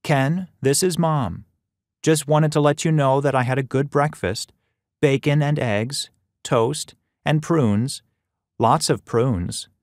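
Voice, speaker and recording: Man putting on a higher-pitched, fretful elderly mother. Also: takes it slowly, no echo, studio quality. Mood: cheerful, rambling. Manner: fretful, insistent. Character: anxious, insistent eighty-five-year-old mother, forgetful and fretful.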